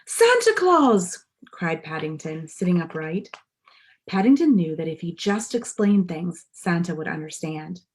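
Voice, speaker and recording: A woman's voice: low-pitched, normal speed, mellow, slight background noise, low-quality recording. A woman reading a children's storybook aloud like a pastor at story time, dramatic and speaking directly to young listeners. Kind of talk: expressive children's storybook narration.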